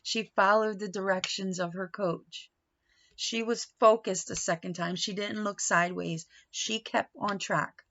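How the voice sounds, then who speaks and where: A woman reading a picture book aloud in an animated, dramatic manner, pausing for asides and questions to young listeners. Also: mid-range voice, muffled recording. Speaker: read-aloud storyteller.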